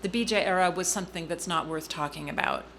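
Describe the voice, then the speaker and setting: A middle-aged woman telling a personal family story in an interview, earnest and halting, with frequent ums and restarts. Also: on the low side, fairly bright tone, a little hiss or hum. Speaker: guest speaker.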